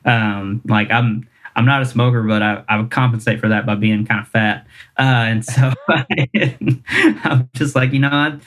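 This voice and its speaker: A man's voice: medium pitch, measured pace, fairly bright tone. A man, a singer-songwriter chatting casually in an interview, rambling and self-deprecating with lots of fillers. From Kentucky, US.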